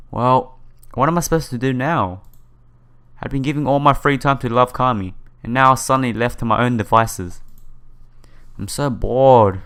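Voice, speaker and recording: A man's voice: on the low side, fairly bright tone, smooth. A man hosting a visual novel playthrough, reading the text aloud with stumbles and casual, sympathetic asides. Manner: stumbles over read lines, sympathetic asides. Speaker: host of a game playthrough. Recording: music behind the voice.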